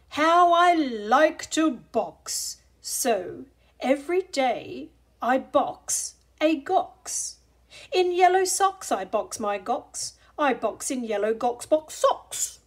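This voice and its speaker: Female storybook reader: high-pitched, lively swooping intonation, deliberate sing-song rhythm. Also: breathy edge. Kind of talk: expressive children's picture book read-aloud.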